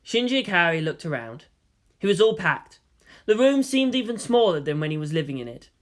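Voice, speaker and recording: A man's voice: high voice, big pitch swings, fairly quick, a bit bright. A man reading fiction aloud as narrator, in a dramatic scripted style. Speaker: story narrator.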